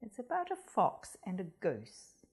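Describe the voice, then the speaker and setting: A woman reading a children's story aloud as a school storyteller, expressive and deliberate, speaking directly to young listeners. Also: mid-range voice, breathy edge. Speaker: storytime reader at a primary school.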